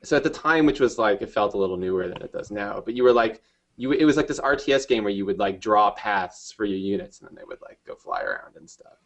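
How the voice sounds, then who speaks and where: A man's voice: fairly low pitch, animated, fast talker, a bit bright, dull audio. A man from New Jersey, a game developer guest, talks casually in rambling sentences full of fillers. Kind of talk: casual interview chat.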